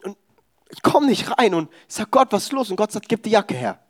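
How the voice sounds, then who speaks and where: A young man delivers a sermon at a church service. His voice sits high and light, and he drives his points home with emphatic, exhorting insistence. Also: sing-song, fairly quick. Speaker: preacher.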